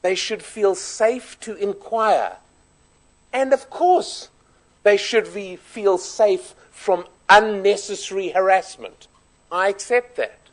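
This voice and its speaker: A man with a South African accent giving a formal conference speech, measured and emphatic, laying out his points in order. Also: high-pitched.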